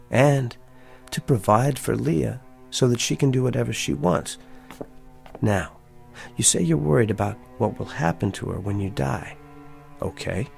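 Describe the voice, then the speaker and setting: An actor playing a persistent suitor in a TV drama, talking in a deliberate, persuasive way. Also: male voice, medium pitch, creaky, a little mellow. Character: persistent, calculating suitor bargaining with his sweetheart's father. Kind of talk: scripted TV drama acting.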